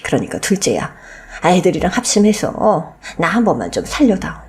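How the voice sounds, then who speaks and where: A woman voicing a shameless, scornful old mother-in-law who wheedles and pleads with emphatic, drawn-out words in a radio story. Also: fairly low pitch, wildly swooping pitch. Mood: defensive wheedling. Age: older.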